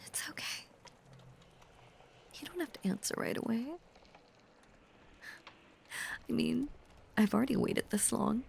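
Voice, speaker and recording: A woman voicing an obsessive, possessive female werewolf lover in an intimate audio roleplay, breathy and often whispering, with a halting, intense, confiding delivery that is tender yet menacing. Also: fairly high pitch, darkish tone, slight background noise. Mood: patient, ominous.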